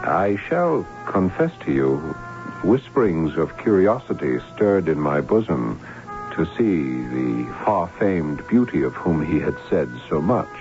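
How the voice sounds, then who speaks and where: Sad guilty young count type, deep voice, formal storytelling, old muffled radio drama. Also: male voice, sing-song, measured pace, exaggerated voice. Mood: sombre, confiding. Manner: solemn, formal, literary. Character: sorrowful young nobleman, guilt-torn impostor recounting his doomed love. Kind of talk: old-time radio drama acting, first-person narration. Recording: dull vintage radio recording, music behind.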